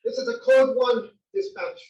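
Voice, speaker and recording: A man's voice: extremely high pitch, big pitch swings, normal speed, darkish tone. A man playing a businesslike middle-aged police officer reporting in, in a comedy table read. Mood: businesslike, alarmed. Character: police officer in his forties, urgent and businesslike. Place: library room, table read. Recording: dull, echoey library room, distant mic.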